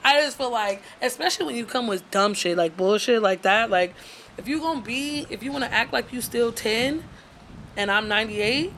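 Woman in her twenties, podcast co-host, crisp bright voice, teasing and animated, faint hiss behind. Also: medium pitch. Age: 20s. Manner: animated, teasing, laughs often. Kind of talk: casual podcast banter.

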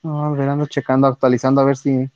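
A man's voice: mid-range voice, flat delivery, fast, darkish tone, dry recording. A man with a Mexican accent streaming, chatting casually with viewers in slangy, profane speech. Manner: slangy, profane, reads and answers chat. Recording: dull lo-fi dry sound.

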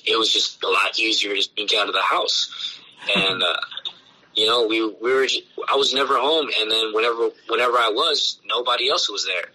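Forceful low-voiced man, rambling casually. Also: low-quality recording. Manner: loose and rambling, heavy swearing and filler words. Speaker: punk band bassist, interview guest. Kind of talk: casual podcast conversation.

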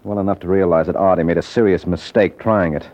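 An actor playing a tough, unflappable private detective with a dry, wisecracking, slangy way of talking in an old-time radio drama. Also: male voice, sits low, fairly even intonation, fast, a little mellow, close to an everyday voice. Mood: menacing, sardonic. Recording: dull, lo-fi old radio recording.

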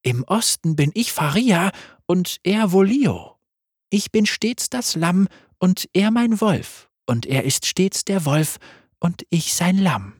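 A man doing a soft, eerie lamb spirit. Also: sits high, takes it slowly, slightly rough, no room sound, clean studio sound, voice pushed up, full character voice. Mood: soothing eerie. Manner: soft, lilting, calmly consoling. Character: gentle, eerie female death spirit, calm.

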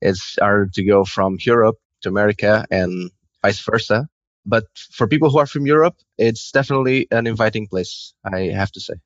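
A man's voice: fairly low pitch, a little fast, no room sound, dull audio. A man from Portugal with a Portuguese accent, speaking conversationally as an interview guest. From Lisbon, Portugal. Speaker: guest, video game character artist.

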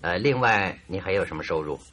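A man's voice: deep voice, expressive, fairly quick, fairly bright tone, husky, exaggerated voice. An actor playing a genial, courteous, inquisitive male detective in a radio drama, musing politely. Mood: probing, musing.

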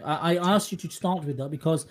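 Higher-voiced man, effusive podcast host. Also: fairly quick. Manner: effusive, emphatic, wordy. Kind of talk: conversational interviewing.

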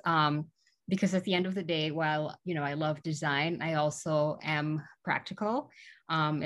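Low-voiced chatty woman from Guyana. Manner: chatty, many "um" fillers, laughs easily. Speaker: guest, small business owner. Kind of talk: casual interview.

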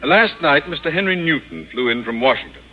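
An actor playing a pompous, self-serving middle-aged school principal in 1950s radio comedy style. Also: male voice, mid-range voice, big pitch swings, fairly quick, lightly acted. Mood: pompous, commanding. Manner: pompous, fawning to superiors, overbearing to subordinates. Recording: muffled, noisy old radio recording.

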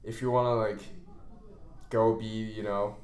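A young man hosts a relaxed student podcast, talking informally and earnestly as if giving advice. The room around him is noticeably echoey. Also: on the low side, measured pace. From China. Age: young adult. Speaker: podcast host, college student. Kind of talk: casual podcast chat. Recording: roomy echo.

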